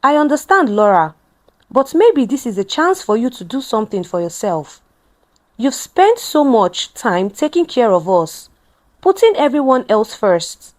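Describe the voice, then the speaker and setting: A woman's voice: a little high, very animated, unhurried, a little mellow. A woman voicing an earnest, thoughtful young husband and father in a storybook-style narration. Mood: encouraging.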